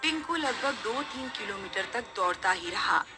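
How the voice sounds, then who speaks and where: A woman's voice: fairly high pitch, expressive, moderate pace. Woman narrating over background music. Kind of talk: scripted narration of a horror story.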